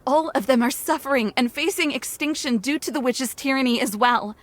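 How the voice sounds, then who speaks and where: A woman plays a young female werewolf in a dramatic game story. Her voice sits fairly high, and she speaks with earnest hope and firm resolve, pleading for her cause. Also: brisk, no room sound, natural voice. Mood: urgent, pleading. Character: determined, hopeful young female werewolf seeking freedom. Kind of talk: dramatic game cutscene acting.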